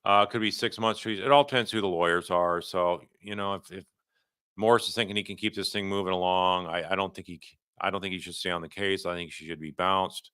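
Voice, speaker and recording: A man hosting a livestream, giving unscripted legal commentary and answering viewer questions in a halting, conversational way, often rephrasing himself. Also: sits low, fairly even intonation, sharp tone, smooth, dry recording, studio quality.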